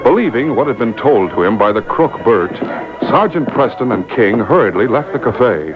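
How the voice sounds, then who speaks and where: An actor as the dramatic storyteller of an old-time radio serial, reading scripted narration. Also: male voice, sing-song, normal speed, music in the background, natural voice. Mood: measured. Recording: muffled, noisy boombox radio recording.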